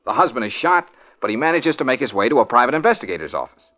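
An actor playing a cool-headed, wisecracking American private detective in an old radio drama, brisk, wry and slangy. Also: male voice, mid-range voice, very animated, bright, forceful tone. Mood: wry storytelling. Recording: muffled vintage radio recording.

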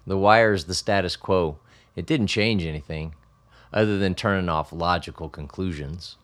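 A man's voice: low voice, moderate pace, close to an everyday voice. An actor in a radio play voicing an older, gray-haired man in a wheelchair who speaks plainly and firmly.

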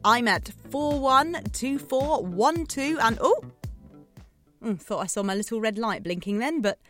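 A woman's voice: a little high, lively intonation, unhurried, fairly bright tone, soft background music. A woman playing a chatty, needy late-night radio DJ in a scripted drama, playful and theatrical, coaxing her listeners. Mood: cheeky, upbeat. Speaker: radio host.

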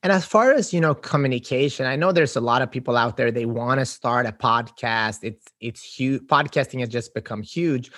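A man from Sweden hosting a podcast interview, speaking haltingly and repeating words as he searches for phrasing. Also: mid-range voice, fairly bright tone, no room sound, clean studio sound. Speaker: podcast host. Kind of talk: conversational interview. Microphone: podcast microphone.

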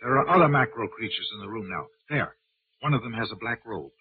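A man's voice: medium pitch, animated, a little fast, natural voice. An actor playing an anxious, dutiful alien junior officer reporting uneasily to his superior in a 1950s radio drama. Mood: uneasy, alert. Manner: uneasy, deferential military reporting. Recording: tinny, narrow-band 1950s radio recording.

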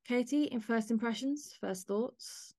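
A woman's voice: on the high side, unhurried, dark tone, dry recording, studio quality. A woman hosting a podcast, chatting conversationally with a guest about drama. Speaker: podcast host. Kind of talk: interview chat about a play.

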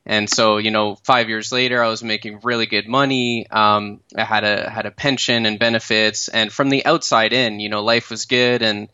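A man in his thirties with a Canadian accent, a startup founder telling his story in a relaxed podcast interview, with many fillers and restarts. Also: fairly low pitch, normal speed, few pauses, clear, resonant tone, no room sound, lo-fi sound.

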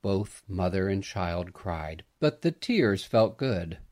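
Male storyteller, lowish pitch, animated intonation, slow, gentle, dramatic, sentimental narration. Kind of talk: scripted family story narration.

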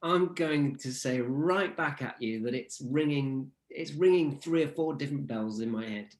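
A man's voice: mid-range voice, normal speed. Chatty man in his thirties. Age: 30s. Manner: anecdotal, with restarts and playful asides. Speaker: co-host, language guru. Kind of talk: casual chat.

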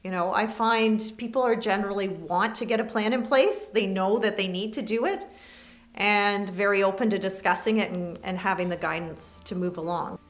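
A woman lawyer with a Canadian accent, answering interview questions in a measured, explanatory way. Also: mid-range voice, faint music behind.

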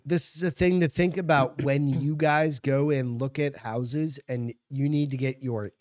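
A man's voice: medium pitch, fairly even intonation, a little slow, darkish tone, no room sound, studio quality. An American man co-hosting a podcast, bantering and telling stories in a brash, informal way with plenty of swearing. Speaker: podcast co-host. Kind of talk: casual banter and storytelling.

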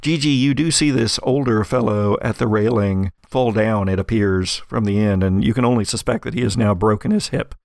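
A man's voice: lowish voice, moderate pace, vocal fry, few pauses, darkish tone, no echo, clean studio sound. A man working as game master, narrating an actual-play tabletop game in a conversational, improvised way.

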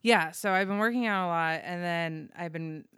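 A woman with a deep voice guesting on a podcast, chatting casually with self-mocking humor and swearing. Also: normal speed, a bit bright, smooth, no room sound, studio quality.

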